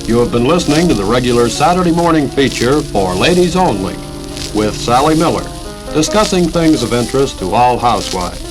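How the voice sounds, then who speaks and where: A man's voice: mid-range voice, expressive, a little fast. An American man announcing in 1940s radio style, reading a scripted piece. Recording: crackly 1940s radio recording. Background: music.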